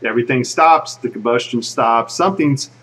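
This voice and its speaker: A man with a husky, middle-pitched voice talks casually over footage. He narrates conversationally, speculating as he goes. The microphone sits a little away from him. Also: moderate pace, a bit bright, recorded from a distance. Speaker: video narrator.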